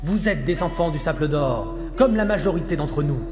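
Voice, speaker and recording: A man acts a fervent cult leader in his thirties, with a high voice. He declaims solemnly, commanding his followers in an audio drama. Loud music plays under him. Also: expressive, normal speed, lightly acted. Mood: preaching. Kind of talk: French audio drama acting.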